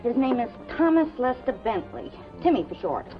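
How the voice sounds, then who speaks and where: A woman's voice: on the high side, very animated, moderate pace. An actress playing a brassy, gabby, self-absorbed showgirl mother in a 1950s television drama. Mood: chatty, breezy. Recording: dull 1950s TV soundtrack, clear music behind.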